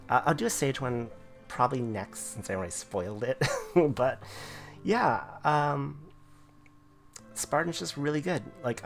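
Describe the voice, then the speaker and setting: A man reviewing a game class in casual video commentary, his voice going hoarse, rambling with lots of fillers. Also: mid-range voice. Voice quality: gravelly, voice wearing thin. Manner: rambling, filler-heavy explanations. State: losing his voice.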